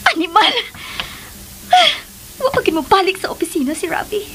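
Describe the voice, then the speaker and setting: Raging jilted lover in radio soap, super high n shrill, pitch all over the place. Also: female voice, expressive, normal speed, a bit bright, soft background music. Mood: seething, wounded. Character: furious jilted mistress, demanding, volatile, confrontational. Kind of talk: melodramatic radio drama acting.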